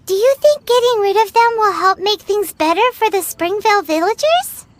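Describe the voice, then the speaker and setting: A woman voicing a small, chirpy, childlike fairy companion in a video game, excitable, blunt and exclaiming. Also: extremely high pitch, moderate pace. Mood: perky, thoughtful. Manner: speaks of herself in the third person, exclaiming. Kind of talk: video game cutscene acting.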